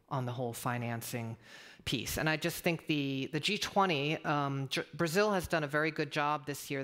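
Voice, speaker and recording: A woman's voice: low voice, fairly bright tone. A woman speaking as a government policy expert on a panel, explaining earnestly with hesitant, searching phrasing. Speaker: panelist, government climate official.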